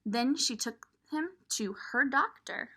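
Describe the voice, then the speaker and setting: A woman reading a picture book aloud to young children, expressive and pausing to point things out. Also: high voice, slight background noise. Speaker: children's storybook reader.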